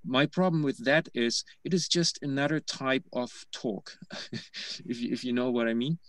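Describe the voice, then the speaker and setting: A man's voice: mid-range voice, moderate pace, fairly bright tone, no echo. A man in his forties giving a thoughtful academic answer on a video call, with many "you know, like" fillers. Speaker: panel speaker.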